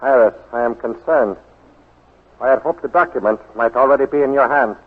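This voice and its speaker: Actor as suave, formal baron, muffled radio. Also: male voice, medium pitch, brisk, slightly rough, natural voice. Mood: suave, courtly. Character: courtly, scheming Japanese baron, a powerful male politician. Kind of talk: 1940s radio drama acting. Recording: muffled old 1940s radio broadcast.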